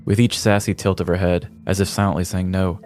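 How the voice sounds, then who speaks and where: An American man reading a scripted comedic story in a deliberate, theatrical storyteller's manner. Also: low-pitched, flat delivery, darkish tone, soft background music. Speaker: podcast host telling a story.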